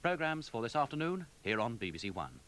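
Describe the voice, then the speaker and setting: A man's voice: medium pitch, sing-song, brisk, fairly bright tone. A man with a Received Pronunciation British accent delivers polished, scripted television continuity announcements in a formal manner.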